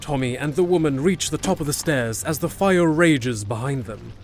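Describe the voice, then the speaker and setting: A man's voice: a little high, normal speed. A man narrating a scripted fantasy audio drama as a storyteller. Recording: music behind.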